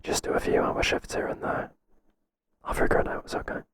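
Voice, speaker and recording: A man's voice: no echo, natural voice. Whispering, hesitant male boss, acted by a man. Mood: reassuring, businesslike. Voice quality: soft, breathy, mostly whispered. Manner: halting, tentative, confiding. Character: hesitant, flattering male boss confiding in an employee. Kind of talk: whispered ASMR roleplay.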